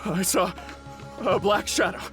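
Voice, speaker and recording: Shrill, terrified, stammering bystander. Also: male voice, a little slow, over music. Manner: stammering, panicky. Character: terrified, stammering male witness who saw a ghost. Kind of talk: video game voice acting.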